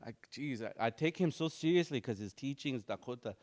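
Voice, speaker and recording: A man teaching an online language class, drilling words over and over in a relaxed, informal way and chuckling often. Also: medium pitch, a little fast.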